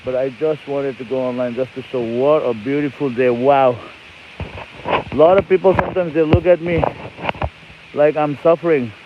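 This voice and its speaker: A man's voice: mid-range voice, normal speed. A man from Nepal, chatting cheerfully and rambling while he livestreams from an e-bike outdoors on his phone. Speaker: livestream host. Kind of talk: casual livestream chat. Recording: lo-fi phone stream with loud outdoor noise.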